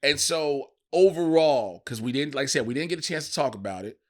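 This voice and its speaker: A man's voice: mid-range voice, animated, fairly quick. An American man co-hosting a casual podcast, making his points in a slangy, argumentative and profane way. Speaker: podcast co-host.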